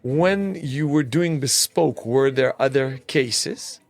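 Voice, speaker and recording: A man with a noticeably creaky, gravelly voice hosts a conversational interview. He speaks at a middle pitch and lays out his points in a deliberate way. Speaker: interview show host.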